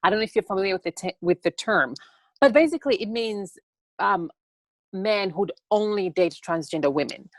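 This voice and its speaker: A young woman from Tanzania answering interview questions on a video call in an earnest, halting way that often repeats words. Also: mid-range voice, dry recording. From born in Tanzania. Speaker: interview guest, TikTok educator.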